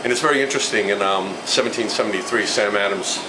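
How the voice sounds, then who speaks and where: Boston guy, kinda low voice, rambling about his beer, loud store noise behind. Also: quick pace. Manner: enthusiastic, rambling storyteller. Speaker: brewery co-owner. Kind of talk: casual interview in a store. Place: liquor store. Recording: noisy store with air conditioning hum.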